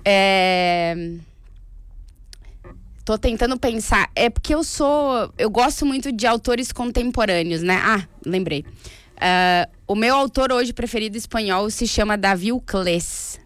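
A woman's voice: medium pitch, lively intonation, unhurried, a bit bright, clean tone, dry recording. A woman, chatting casually about books she reads and laughing easily. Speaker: guest talking about books. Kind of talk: casual chat.

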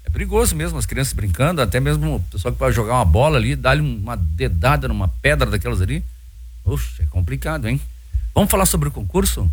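A man's voice: medium pitch, very animated, quick pace, breathy edge. A man with a Brazilian accent hosting a radio talk show, chatty and full of filler words.

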